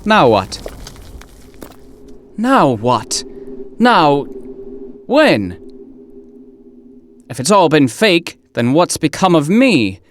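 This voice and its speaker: High-voiced, swooping earnest hero played by a man. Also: a little hiss or hum, exaggerated voice. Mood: lost, weary. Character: bewildered, earnest male hero saving the world. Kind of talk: audio drama acting.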